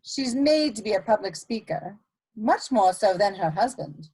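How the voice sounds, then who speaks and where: A woman playing a sly, worldly Victorian society lady, arch and smoothly polite, in a dramatic comedy reading. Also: medium pitch, animated, unhurried, a little mellow, poor audio.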